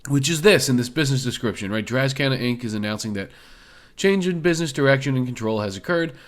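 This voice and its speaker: A man hosting an investing video, his voice a little hoarse from a cold. He talks in a loose, easygoing way, leaning on fillers as he walks through the details. His pitch sits in an ordinary middle range for a man. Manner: loose, filler-heavy, conversational. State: slightly hoarse from illness. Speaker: stock video host. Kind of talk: casual screen-share commentary.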